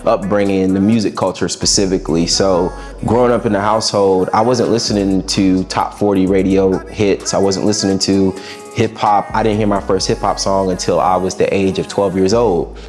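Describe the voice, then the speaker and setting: Laid-back raspy young man. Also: sits low, steady tone, normal speed, darkish tone, faint music behind. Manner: laid-back, reflective, slangy. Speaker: musician guest. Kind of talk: casual interview answers.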